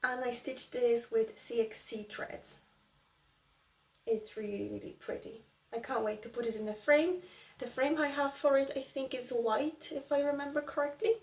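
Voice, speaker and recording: A woman's voice: fairly high pitch, fairly even intonation, normal speed, distant mic. A woman in her early thirties from Poland, chatting casually to viewers as host of a craft vlog.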